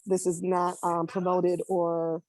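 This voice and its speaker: A middle-aged woman from Barbados speaks in a mellow, slightly low voice, recorded dry and close. She chats informally as an interview guest and repeats phrases for emphasis. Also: monotone, slow pace, no echo. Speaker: guest, event promoter and curator. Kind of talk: casual interview chat.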